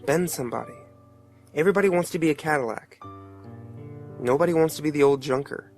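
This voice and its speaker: A man's voice: fairly high pitch, brisk, darkish tone, faint music behind, lightly acted. A man playing a wry, streetwise storyteller, narrating a motion comic in a folksy, reflective, colloquial way. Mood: somber, reflective. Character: wry, streetwise male storyteller musing on death.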